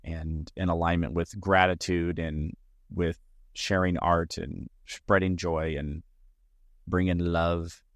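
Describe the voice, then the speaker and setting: A man's voice: sits low, flat delivery, takes it slowly, dry recording, clean studio sound. A man hosting his own comedy podcast, talking alone in an earnest, reflective way and stringing his thoughts into long lists. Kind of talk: solo podcast monologue. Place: lives in Arizona.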